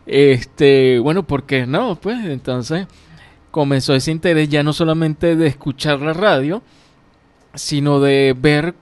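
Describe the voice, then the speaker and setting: Relaxed Venezuelan man with a rambling manner. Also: on the high side, dry recording. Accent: Venezuelan Spanish. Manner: relaxed, rambling, many fillers and laughs. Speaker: radio producer, interview guest. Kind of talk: casual radio interview.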